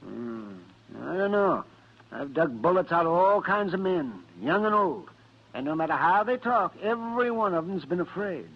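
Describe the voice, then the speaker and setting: An actor playing a crusty, sardonic older town doctor in a radio Western, with a husky voice. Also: male voice, high voice, expressive, moderate pace. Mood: sardonic, grim. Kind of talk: 1950s radio Western acting. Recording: dull vintage radio recording.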